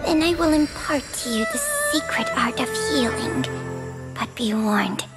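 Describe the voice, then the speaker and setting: High lively snake spirit. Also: female voice, measured pace. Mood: solemn. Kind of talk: game cutscene voice acting. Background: music.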